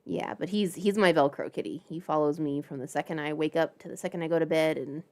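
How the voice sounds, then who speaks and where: Lower-pitched woman, playful casual streamer. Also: fast, a little mellow. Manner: playful, informal, chatty asides. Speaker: livestream host. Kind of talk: casual livestream chat.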